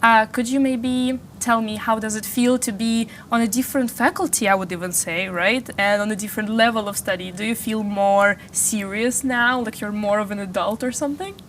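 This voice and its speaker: A woman's voice: fairly high pitch, fairly even intonation, normal speed, few pauses, a bit bright, a little hiss or hum. A woman in her twenties hosting a studio interview, upbeat and chatty, asking long, winding questions. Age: 20s. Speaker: interview host. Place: small studio. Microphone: lapel.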